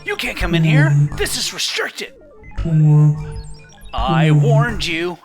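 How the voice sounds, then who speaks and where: A woman's voice: sits low, lively intonation, takes it slowly, music in the background, full character voice. A woman playing a plucky, whiny little male Christmas elf in an audio drama, complaining melodramatically. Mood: feisty, pained.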